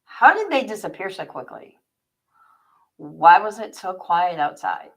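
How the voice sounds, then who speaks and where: A woman's voice: on the low side, big pitch swings, unhurried. A woman author hosting a livestream, reading her own story aloud and chatting to viewers.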